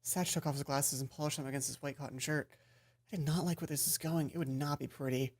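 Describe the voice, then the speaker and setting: A woman hosting a let's play, reading a visual novel aloud and commenting casually, expressive, stumbling now and then and swearing. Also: sits low, fast. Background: game music.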